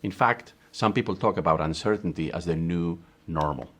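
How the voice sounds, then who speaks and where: A middle-aged man from Spain with a Spanish accent, giving a measured, emphatic online course lecture as a university professor and posing rhetorical questions to students. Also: low-pitched, fairly bright tone, husky.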